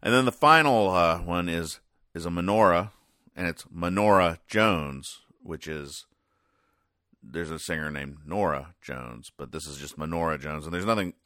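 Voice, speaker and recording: A man with a deep voice and a bright, forward edge hosts a casual recap show. He rambles and halts in a deadpan way. The sound is close and dry, with hardly any room around it. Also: moderate pace, dry recording. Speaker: podcast host. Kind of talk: casual comedic recap of newspaper comics.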